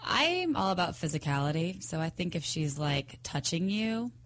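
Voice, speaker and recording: Low-pitched woman in informal chat. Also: sing-song, normal speed, a bit bright. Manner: informal, peppered with "like". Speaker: podcast panelist. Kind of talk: casual chat.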